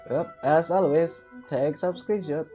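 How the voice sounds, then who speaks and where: Low, slow-talking woman streamer. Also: dark tone, faint music behind. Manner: muttering, thinking aloud. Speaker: game livestreamer. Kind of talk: casual live gameplay commentary.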